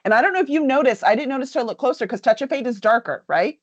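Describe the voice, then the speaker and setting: A woman's voice: high voice, expressive, very fast. Chatty woman host on a dull lo-fi stream. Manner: chatty, upbeat. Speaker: livestream host. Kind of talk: casual chat and reading aloud.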